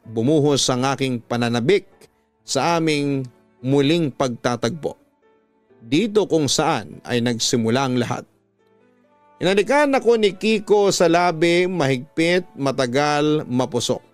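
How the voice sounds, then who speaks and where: A man with a Filipino accent reads a dramatic story as a radio storyteller, phrasing slowly and emotively. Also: fairly high pitch, lively intonation. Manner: slow, emotive, deliberate phrasing. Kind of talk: dramatic scripted story narration. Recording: music bed behind a dry studio voice.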